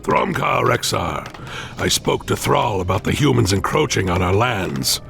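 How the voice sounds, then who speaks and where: A man voicing a wary, military orc officer in a video game, with a very gravelly voice. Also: lowish voice, big pitch swings, measured pace, fairly bright tone, faint music behind, heavily acted. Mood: suspicious. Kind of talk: video game character acting.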